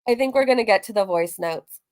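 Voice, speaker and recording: A woman's voice: mid-range voice, fast, darkish tone, no room sound, studio quality. A woman hosting a reaction stream, talking casually to her viewers in a teasing, mocking manner. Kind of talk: casual commentary to live viewers.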